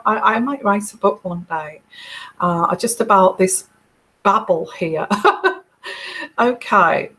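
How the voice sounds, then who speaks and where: A woman's voice: mid-range voice, fairly bright tone. A middle-aged English woman chatting casually with viewers on a live tarot stream, rambling with many fillers and restarts and laughing often. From England.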